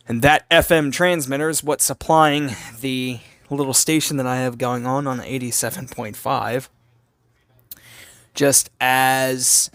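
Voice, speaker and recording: A man's voice: mid-range voice, fairly bright tone, no room sound. Casual man reviewing a gadget, dry sound. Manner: informal, repetitive, thinking aloud. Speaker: gadget reviewer and channel host. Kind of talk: casual hands-on product review.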